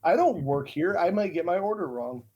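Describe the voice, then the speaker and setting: A man's voice: high voice, expressive, moderate pace, a little mellow, breathy edge, dull audio. Rambling casual podcast co-host, man. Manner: rambling, many fillers. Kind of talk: casual chat.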